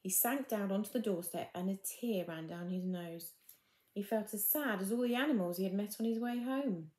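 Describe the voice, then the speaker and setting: A woman's voice: mid-range voice, moderate pace, mellow, echoey room. A woman reading a children's storybook expressively, addressing young listeners like a teacher.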